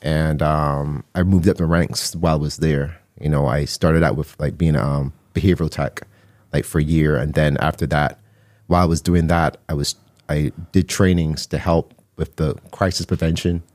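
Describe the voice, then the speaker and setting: A man's voice: extremely low pitch, fairly even intonation, normal speed, a little mellow, dry recording. A middle-aged man from Barbados, a guest telling his story conversationally, close on a studio microphone. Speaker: guest, mental health counselor and artist. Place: small indoor podcast studio. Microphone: close studio microphone on boom arm.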